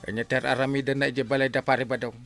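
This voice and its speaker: A man's voice: mid-range voice, level tone, fast talker, a bit bright, soft background music, lightly acted. A man playing a grumbling, penniless village husband with an Ilocano accent in a Filipino radio comedy drama.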